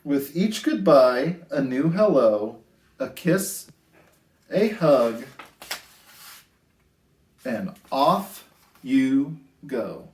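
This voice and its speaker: A man hosting a children's storytime, reading picture books aloud in an expressive, sing-song way with drawn-out phrases. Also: medium pitch. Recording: roomy, echoey indoor sound.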